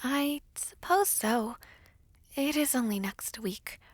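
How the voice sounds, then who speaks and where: A gentle young princess played by a woman speaks in a high, breathy voice at an unhurried pace. She is modest and hesitant, choosing polite, formal words. The recording is very dry and close, in the manner of an audio roleplay. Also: no echo. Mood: gently anxious. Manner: soft-spoken, hesitant, polite, formal diction.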